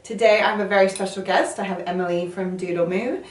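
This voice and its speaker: A woman's voice: medium pitch, steady tone, quick pace, soft background music. A woman hosting a casual interview show, upbeat and encouraging, often chiming in with short agreements. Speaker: host, interviewer. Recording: echoey room, mic at a distance, faint noise.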